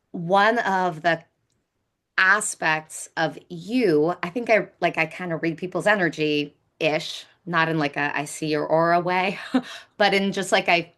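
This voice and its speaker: The woman host of a chatty interview podcast, crisp-edged and bright, informally thinking aloud as she frames her questions. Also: fairly low pitch. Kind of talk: casual conversational interview.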